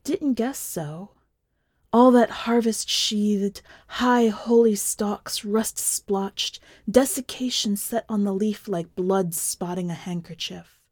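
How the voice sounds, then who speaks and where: An eerie, cryptic wife from a literary story, voiced by a woman reader, fond and haunted. She speaks slowly in breathy, incantatory phrases that sink toward whispers, very close and dry. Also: medium pitch, dark tone, no echo. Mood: eerie, hushed.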